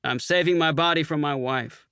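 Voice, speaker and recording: A man voicing a brash, boastful, hard-drinking Marine colonel with a loud, swaggering delivery. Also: fairly high pitch, normal speed, clear, resonant tone, no echo, clean studio sound. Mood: toasting.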